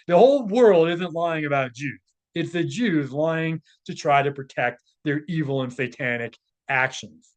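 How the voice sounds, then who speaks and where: Higher-pitched man, casual commentary. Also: unhurried. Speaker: commentator, presenter. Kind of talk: casual spoken commentary while reading aloud from a text.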